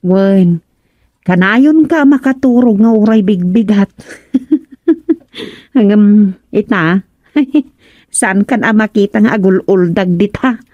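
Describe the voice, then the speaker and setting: An actress as mellow-voiced teasing village wife. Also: female voice, mid-range voice, slow, pauses often, no echo, lo-fi sound, natural voice. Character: teasing, homely village captain's wife, hospitable. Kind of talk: Ilocano radio comedy drama acting.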